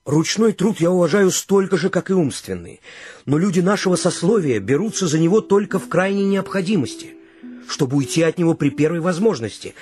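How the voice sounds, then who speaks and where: An actor playing an earnest, educated gentleman come home from abroad, formal and probing, in a radio play. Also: a little high, lightly acted.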